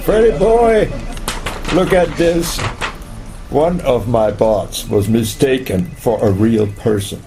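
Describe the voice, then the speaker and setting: A man's voice: medium pitch, slow pace, warm tone, faint music behind. An actor playing a brash, domineering male corporate boss in a radio play. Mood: excited, pleased. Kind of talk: scripted radio play acting.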